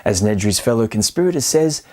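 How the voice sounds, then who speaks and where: A man's voice: mid-range voice, expressive, brisk, dark tone. A man hosting a scripted film video essay, speaking in an emphatic, playfully argumentative and colloquial way. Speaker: film commentary host.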